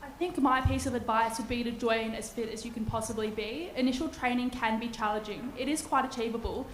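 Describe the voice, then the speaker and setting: Quick-talking young Australian woman. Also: fairly high pitch, steady tone, a little hiss or hum. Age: young adult. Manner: confident, articulate. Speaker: trainee officer. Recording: echoey hall.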